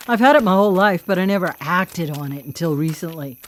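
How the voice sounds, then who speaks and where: A vengeful female vigilante, played by a woman, speaks in a lowish voice. She is cocky and mocking, with a cool menace, in the manner of live radio drama. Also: animated, fast talker, a little hiss or hum, lightly acted. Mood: menacing, gloating. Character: vengeful, taunting female vigilante killer who hunts predators.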